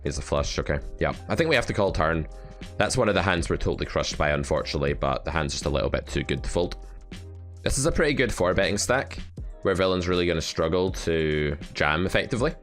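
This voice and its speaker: A man's voice: deep voice, animated, quick pace, a bit bright, soft background music. A man coaching poker with live play-and-explain commentary, talking in a blunt, wry, sardonic way.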